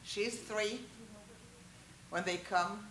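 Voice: mid-range voice, extremely slow, slight background noise. Chatty Israeli-born teacher in an echoey room. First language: Hebrew and Hungarian. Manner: chatty, asks the listeners questions, animated asides. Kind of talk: informal lecture to a small group. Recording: roomy echo.

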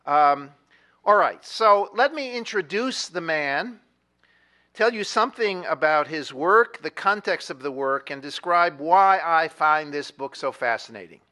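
A man's voice: fairly high pitch, lively intonation, unhurried, sharp tone. A middle-aged man giving a university history lecture in a hall, talking in a discursive way with many fillers and restarts, and putting questions to the audience. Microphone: moves away from the microphone at times.

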